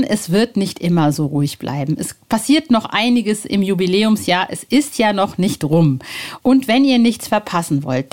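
A woman's voice: mid-range voice, a little mellow. A woman in her forties hosting a podcast in a casual, chatty way, with colloquial, exclamatory phrasing. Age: 40s. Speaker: podcast host.

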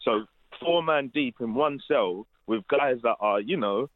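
A man's voice: medium pitch, animated, a little slow, no echo. A British man giving casual, colloquial, unscripted answers as an interview guest over the phone. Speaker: interview guest, former prisoner. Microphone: phone call. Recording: narrow, tinny phone line.

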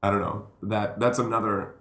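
A man from Puerto Rico, a comedian telling stories in a rambling, animated way on a podcast. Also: fairly low pitch, normal speed, vocal fry. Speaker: comedian and guest. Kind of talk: casual podcast chat. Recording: roomy echo.

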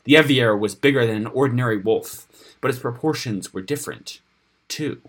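A man narrates a fantasy story in a dramatic style. His voice sits at a middle pitch and has a husky, rough-edged texture. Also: moderate pace. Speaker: story reader on a podcast.

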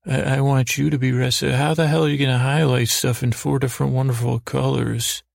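A man hosting a bedtime podcast, rambling whimsically in a halting, meandering monologue with repeated half-words. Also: medium pitch, little pitch movement, creaky, no room sound, clean studio sound. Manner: whimsical, mock-serious. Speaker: podcast host. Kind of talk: rambling bedtime monologue to help listeners sleep.

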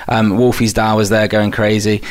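A man's voice: fairly low pitch, little pitch movement, normal speed. A man from Wales who sounds English, hosting a casual interview, chatty and self-deprecating with many "uh"s. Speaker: podcast host.

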